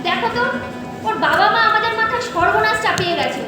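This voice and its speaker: A resentful, scolding aunt, played by a woman, with a very high voice and a sarcastic, complaining edge. It is stage drama heard from afar in a very echoey hall. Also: expressive, fast, far from the mic, over music. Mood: bitter complaint. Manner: complaining, sarcastic, sharp-tongued. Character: resentful, scolding aunt burdened by orphaned niece. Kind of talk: Bengali stage audio drama acting.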